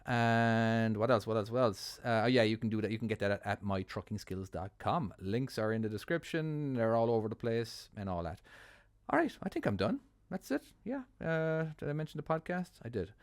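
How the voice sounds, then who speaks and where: A man's voice: mid-range voice, animated, normal speed, dry recording. Chatty Irish man commentating a game. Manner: chatty, self-deprecating, laughs often. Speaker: trucking channel host. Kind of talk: casual gameplay commentary.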